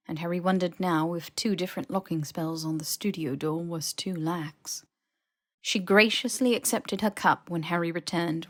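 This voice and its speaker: A woman's voice: on the low side, no echo. Woman narrating fiction, very dry sound. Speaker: audiobook narrator.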